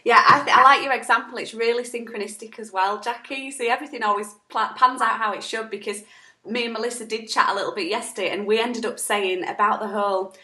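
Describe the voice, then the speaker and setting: A woman's voice: on the high side, steady tone, quick pace, bright, forceful tone. A woman with a sore throat, co-hosting a casual self-help chat and talking emphatically, acting out quotes. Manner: chatty, emphatic, acts out quotes. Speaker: co-host. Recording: echoey room on an online call.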